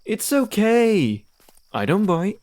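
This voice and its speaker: A man as a lively, charming demon bard. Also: high voice, slow, no echo, heavily acted. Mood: friendly. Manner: theatrical, flirtatious, breezy. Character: charming, playful male demon wandering bard. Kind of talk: audio drama acting.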